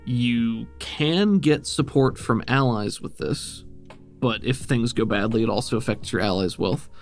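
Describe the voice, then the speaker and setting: A man runs a tabletop role-playing game as its game master, in a conversational way. His voice sits at a middle pitch. Plucked guitar music plays behind him. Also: measured pace. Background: plucked guitar background music.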